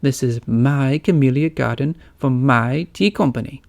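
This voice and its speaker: A man's voice: medium pitch, unhurried, creaky, a little mellow. A man voicing a genteel, polite but firm lady. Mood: indignant.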